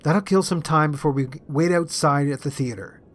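A man's voice: a little high, normal speed, slight background noise, natural voice. Man voicing teasing young woman, mischievous and ambitious. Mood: brisk, determined.

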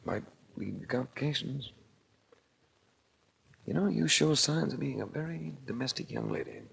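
An actor playing a cool, wry tough-guy investigator in a 1940s radio drama, with a gravelly voice and a faintly noisy vintage recording. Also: mid-range voice, huge pitch swings, normal speed, a bit bright, full character voice. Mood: soft, romantic. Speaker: lead actor and narrator.

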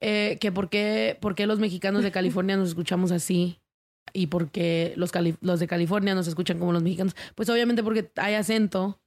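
A woman's voice: on the low side, steady tone, fast, darkish tone. A woman singer chatting casually as a host, slipping between English and Spanish and swearing freely. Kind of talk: casual chat.

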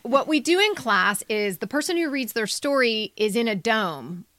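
A woman's voice: fairly high pitch, animated, moderate pace, fairly bright tone, no room sound, clean studio sound. A woman co-hosting with conversational, emphatic commentary as an editor. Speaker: podcast co-host and writing editor.

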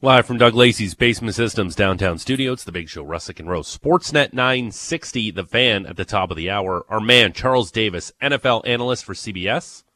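A man with an Ontario accent hosting a morning sports radio show, chatting and teasing with excitable energy. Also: lowish voice, fairly quick, few pauses. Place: radio studio. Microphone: studio microphone.

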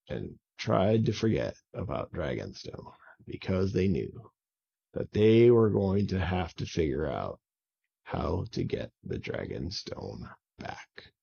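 Slow, gravelly male children's storyteller. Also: low voice, dark tone, highs cut off. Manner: simple, repetitive phrasing for young listeners. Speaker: children's story podcast host and narrator.

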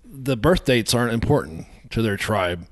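A man who is a guest in a casual interview, explaining things in a relaxed way. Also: medium pitch, moderate pace, no echo, studio quality. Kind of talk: casual conversation.

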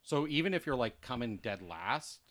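A man's voice: medium pitch, animated, normal speed, a bit bright. A man co-hosting a casual chat, rambling along with lots of fillers and restarts.

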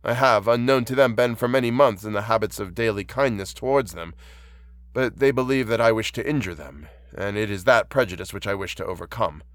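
A man's voice: fairly low pitch, brisk, no room sound, close to an everyday voice. A man voicing an outcast monster in an audiobook, anguished and pleading, with formal, fervent, eloquent speech. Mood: earnest, hopeful.

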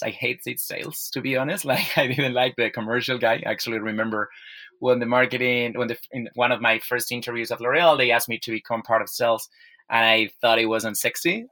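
A man's voice: medium pitch, sharp tone. A man from Spain with a Spanish accent, a podcast guest speaking English, often restarting his sentences. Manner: halting, with repeated restarts. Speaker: guest, software sales executive.

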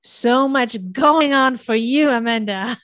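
A woman's voice: fairly high pitch, slow pace, fairly bright tone, no echo. A woman hosting a podcast interview, enthusiastic and effusive as she introduces her guest. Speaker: podcast host and business coach.

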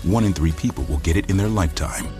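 A man narrating a health commercial in a grave, measured tone. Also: sits low, warm tone, soft background music.

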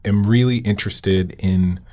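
A man hosting a podcast interview, asking questions in a polite, measured way. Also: lowish voice, fairly even intonation, mellow. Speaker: podcast host.

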